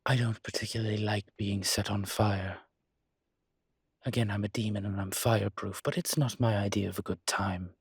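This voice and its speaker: A man's voice: lowish voice, fairly even intonation, moderate pace, husky, no room sound, clean studio sound. A man playing a refined incubus demon professor in an intimate audio roleplay, explaining things in a hesitant, genteel and slightly irritated but patient manner. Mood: weary, irritated.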